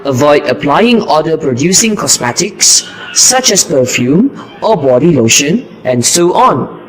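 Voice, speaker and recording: A man playing an earnest, heroic mosquito destroyer in an amateur puppet show, with a deliberate, recited delivery. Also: a little high, very animated, low-quality recording.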